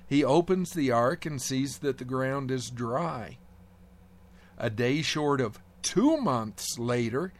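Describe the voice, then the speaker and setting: A man reads a prepared devotional piece, unhurried and deliberate. His voice sits in an ordinary middle register. His manner is reflective, with a quiet wry humor. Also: medium pitch. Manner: measured, reflective, gently wry. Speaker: devotional speaker. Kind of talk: reading a prepared devotional essay.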